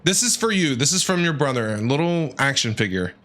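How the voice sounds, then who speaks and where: Animated, bright-voiced male game streamer. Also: medium pitch, a little fast. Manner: animated, talks back to characters, playfully dramatic. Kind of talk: live game reaction commentary. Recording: game music behind.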